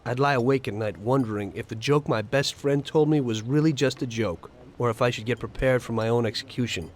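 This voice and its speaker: A man's voice: mid-range voice, brisk, darkish tone, close to an everyday voice. An actor playing a weary, uneasy but loyal Mafia gunman in a video game, plain-spoken and understated. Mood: somber, reflective. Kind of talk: scripted video game dialogue.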